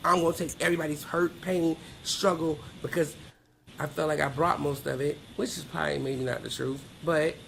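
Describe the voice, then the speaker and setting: A woman's voice: sits low, fairly even intonation, moderate pace, gravelly, slight background noise, poor audio. A middle-aged woman giving a candid interview as a podcast guest, speaking in a blunt, emphatic, plain-spoken way.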